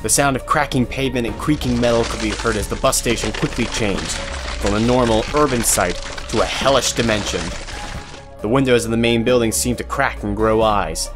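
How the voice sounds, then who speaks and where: A man's voice: mid-range voice, fairly quick. A man reading scripted narration for an audio drama as the storyteller. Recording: music behind the voice.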